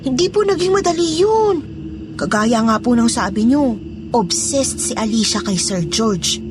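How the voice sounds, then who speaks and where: A woman's voice: fairly high pitch, lively intonation, measured pace, over music. A woman playing a fearful, loyal housemaid in a Tagalog horror audio drama, confiding and deferential as she tells a suspenseful story. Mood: earnest, foreboding. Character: fearful, loyal housemaid revealing dark secrets.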